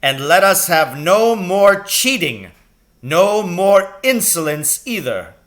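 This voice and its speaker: A man's voice: sits high, very animated, takes it slowly, fairly bright tone, pitched above the performer's own voice, full character voice. A man voicing a stern, menacing schoolmaster and former army captain, barking curt commands in a storybook reading. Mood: harsh, commanding.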